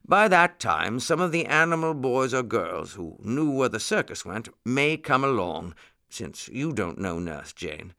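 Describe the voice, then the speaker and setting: Gentleman rabbit acted by a man: helpful, cheery storybook uncle, animated and bright. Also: medium pitch, unhurried, vocal fry, heavily acted.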